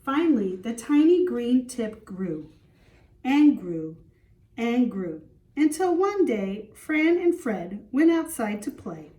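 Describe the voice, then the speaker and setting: Slow-paced woman teacher reading a storybook. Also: a little high, very animated, mellow. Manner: simple explanatory teaching, pausing to ask and answer questions. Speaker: schoolteacher reading to class. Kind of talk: children's storybook read-aloud with questions. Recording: roomy echo, distant microphone.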